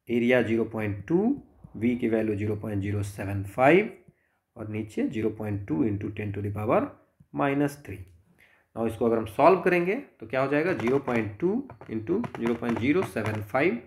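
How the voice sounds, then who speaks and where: A man with an Indian accent working step by step through exam problems as a physics tutor, explaining and often checking in. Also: mid-range voice. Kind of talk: step-by-step exam solution walkthrough.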